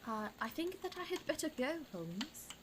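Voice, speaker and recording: A woman's voice: high-pitched, big pitch swings, moderate pace, slight background noise, voice pushed up. A woman voicing a polite, hesitant male doctor companion in a story reading. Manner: tentative, deferential. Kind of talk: audiobook reading.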